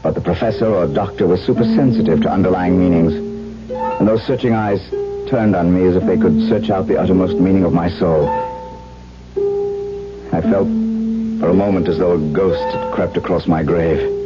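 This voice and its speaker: An actor playing an earnest young gentleman suitor in a 1970s radio drama, formal, measured and romantic. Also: low-pitched, over loud music, lightly acted. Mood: courteous, grave. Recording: muffled, noisy 1970s radio broadcast.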